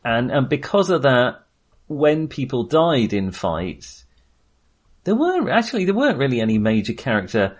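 Halting man chatting about films. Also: medium pitch, big pitch swings. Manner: hesitant, halting, thinking aloud. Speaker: film podcast co-host. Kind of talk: casual film discussion.

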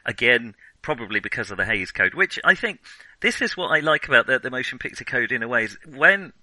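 A man's voice: mid-range voice, lively intonation, a little fast, bright, forceful tone, rough recording. A man co-hosting a podcast, talking casually about a film in a rambling way, with lots of restarts and fillers. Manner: rambling, many restarts and ums. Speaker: podcast co-host.

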